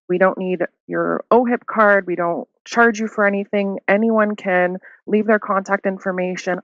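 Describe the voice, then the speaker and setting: Upbeat woman clinician, lowish voice, laughs a lot, lo-fi dry podcast audio. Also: dry recording. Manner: upbeat, laughs often, uses "like" and "right?". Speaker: hearing clinician guest. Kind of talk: casual podcast interview. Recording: dull lo-fi dry sound.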